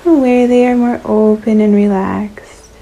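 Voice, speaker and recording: A woman leading a guided relaxation meditation in a soothing, unhurried manner, leaving long pauses between short phrases. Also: mid-range voice, fairly even intonation, warm tone, slight background noise.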